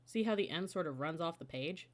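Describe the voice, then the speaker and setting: A woman's voice: fairly low pitch, expressive, a little fast, lightly acted. A woman voicing a careful, worried, bookish young boy in a children's storybook. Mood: hushed worry.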